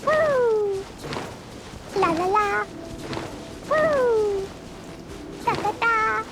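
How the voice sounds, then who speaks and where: Very high squeaky fairy child. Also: female voice, lively intonation, extremely slow, noisy background, exaggerated voice. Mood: gleeful singing. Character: tiny childlike floating fairy companion, cheeky and excitable. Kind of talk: video game character acting. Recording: music playing behind.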